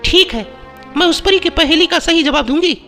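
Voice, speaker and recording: Man as a very high-voiced earnest village girl. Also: moderate pace, bright, forceful tone, heavily acted. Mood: determined. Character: earnest, brave village girl lost in forest. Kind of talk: animated moral story dialogue. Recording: long reverb, music bed.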